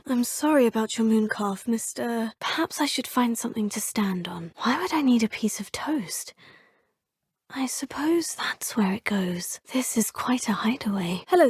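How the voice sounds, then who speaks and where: A woman's voice: on the high side, darkish tone, slightly rough, dry recording, clean studio sound. An actress voicing an earnest, polite teenage witch student in a game, speaking formally and often murmuring to herself. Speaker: player character. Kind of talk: game voice lines.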